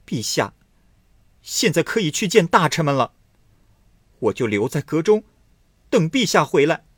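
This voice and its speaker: A man voicing a jealous, sharp-tongued young imperial consort who scolds shrilly. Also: mid-range voice, huge pitch swings, unhurried, husky, no room sound, clean studio sound, voice pushed up, heavily acted. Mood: furious.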